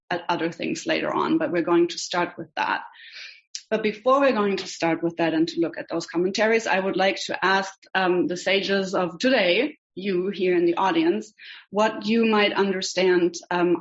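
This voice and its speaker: A woman with a German accent leads an online class, her voice pitched on the low side. She speaks hesitantly, filling gaps with frequent ums. The audio is dull and lo-fi, as from a video call. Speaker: rabbinical student teaching a class.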